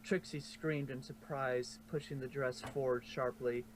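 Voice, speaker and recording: A man narrates a fan story as if from a storybook, at a middling pitch. He is informal and fixes his slips as he goes. The home recording has some noise behind him. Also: fairly even intonation, unhurried. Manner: informal, stumbles and corrects himself. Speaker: fanfiction narrator. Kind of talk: storybook narration read aloud.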